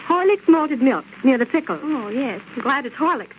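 High-pitched chirpy housewife. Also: female voice, animated, normal speed. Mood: chirpy enthusiasm. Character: chirpy, chummy housewife in a sponsor playlet. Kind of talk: 1930s radio advertisement acting. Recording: dull, noisy old radio recording.

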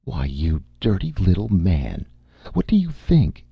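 A man voicing a poised, sly young woman making a pushy business offer, with a husky, gravelly edge. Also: fairly low pitch, moderate pace, no echo, pitched above the performer's own voice, heavily acted. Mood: indignant. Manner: calm, coaxing, sly. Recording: dull lo-fi recording.

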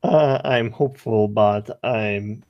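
A man's voice: fairly low pitch, slow pace, no echo, highs cut off. A man with a Hungarian accent chatting casually as a guest artist on a show. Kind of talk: casual chat.

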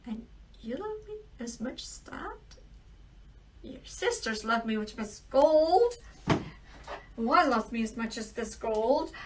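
A woman's voice: high-pitched, pushed and bright, heavily acted. A woman voicing an indignant old king who scolds his daughter, recorded from a distance. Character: grumpy old king, a father demanding love. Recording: distant mic.

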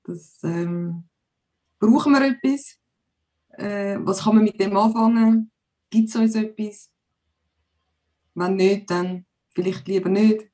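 A woman's voice: mid-range voice, slow, long pauses, mellow. A woman in her forties with a Zurich Swiss German accent, a guest chatting casually on a video call with lots of hesitant fillers. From Zurich, Switzerland. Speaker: podcast guest. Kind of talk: casual conversation. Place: home room.

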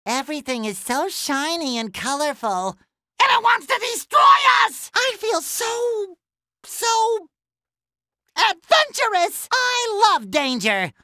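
A man voicing a naive, cheerful young sea sponge hero for a cartoon video game, with a high, squeaky, nasal voice and exuberant, melodramatic exclamations. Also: sing-song, takes it slowly, bright, forceful tone, no room sound, heavily acted. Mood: awestruck.

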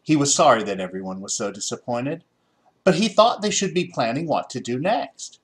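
Lively American man reading a story. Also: mid-range voice, moderate pace. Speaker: storybook reader. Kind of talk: expressive children's story narration.

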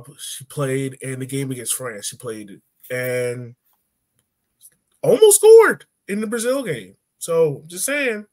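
A young man chatting casually and jokingly on a sports podcast, with informal slangy phrasing, from home on a video call. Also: on the high side. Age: young adult. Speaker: podcast host.

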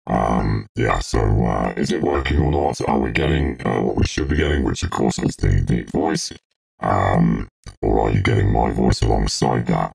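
Man as electronic giant, voice-changer effect, casual halting talk, gravelly croak, faint synth tone behind. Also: a little mellow, distant mic, soft background music, exaggerated voice. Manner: halting, thinking aloud. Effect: pitched-down synthesizer voice changer. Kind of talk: casual live tutorial.

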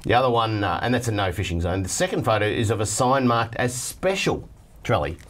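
A man's voice: fairly low pitch, big pitch swings, fairly quick, a bit bright, a little hiss or hum. Australian man reading mail on air. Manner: reads letters aloud, chatty and joking. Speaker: host reading viewer mail. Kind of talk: casual studio chat. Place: TV studio set at a desk.

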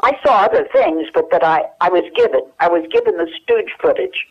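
Older woman, phone interview guest, lively up-and-down pitch, muffled phone sound. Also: vocal fry. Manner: reminiscing, many pauses and restarts. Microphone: telephone line. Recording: muffled telephone line.

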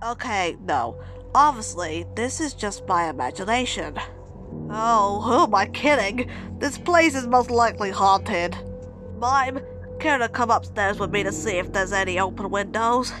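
A woman's voice: mid-range voice, animated, a little slow, close to an everyday voice. A woman voicing an irritable, nerdy male cartoon anteater in an amateur comic dub, grumbling and sarcastic, dramatic when alarmed. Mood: nervous, sardonic. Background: music.

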